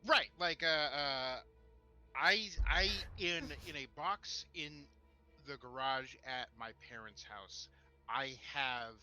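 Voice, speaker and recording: Man, mid-pitched, strikingly sharp tone, halting, word repetitions, casual podcast chat, faint music. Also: dull audio. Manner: halting, repeats words as he starts thoughts. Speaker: podcast co-host.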